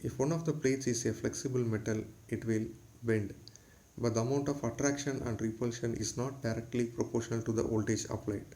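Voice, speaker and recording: Man reading a technical lecture, mellow voice. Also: mid-range voice, fairly even intonation, fairly quick, low-quality recording. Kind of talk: scripted lecture read aloud.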